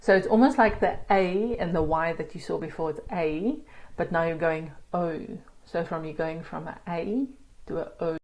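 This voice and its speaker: A woman with a South African accent, teaching pronunciation in a casual spoken lesson and sounding out words slowly. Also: fairly low pitch, darkish tone, poor audio. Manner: demonstrates sounds slowly, laughs at herself. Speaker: language teacher.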